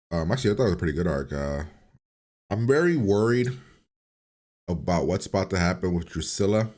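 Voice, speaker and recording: Guy with a cold, super deep voice, bit nasally, just chatting loose and rambly on a reaction vid. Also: darkish tone. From upstate New York. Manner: rambling, easygoing, with filler words. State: sick with a cold, slightly nasal. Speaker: reaction channel host. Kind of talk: casual video reaction commentary.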